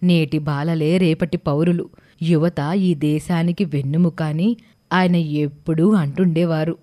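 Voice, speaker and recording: Grave, kindly schoolmaster's widow for a cartoon, played by a woman: lowish, measured, earnest. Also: steady tone, warm tone. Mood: earnest, sorrowful. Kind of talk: cartoon story voice acting.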